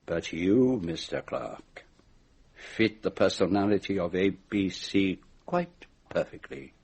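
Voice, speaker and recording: Shrewd radio-drama detective: low, husky voice, formal, methodical, accusatory delivery. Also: male voice, big pitch swings, slow pace, darkish tone, full character voice. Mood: accusing, confident. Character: shrewd, methodical male detective laying out his case. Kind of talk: radio mystery drama acting.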